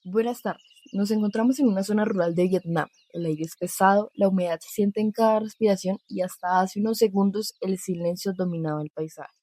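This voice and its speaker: A woman's voice: mid-range voice, fairly quick, warm tone, no room sound, natural voice. A woman playing a grave war correspondent giving scripted, descriptive field reports in an audio drama. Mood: grave, hushed.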